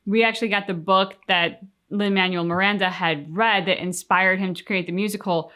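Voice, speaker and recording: A woman co-hosting a reaction show, talking casually and conversationally with expressive retellings. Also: mid-range voice, normal speed.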